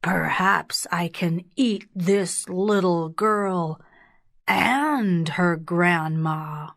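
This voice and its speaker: Woman voicing a slow, sly storybook wolf. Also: fairly low pitch, gravelly, a bit bright, dry recording, studio quality, exaggerated voice. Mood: sly, scheming. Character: sly hungry male big bad wolf.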